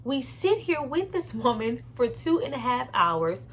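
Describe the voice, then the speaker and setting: A woman's voice: high-pitched, very animated, measured pace, slight background noise. A woman giving a casual, opinionated movie review in a candid, conversational and emphatic way. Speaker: film reviewer and host.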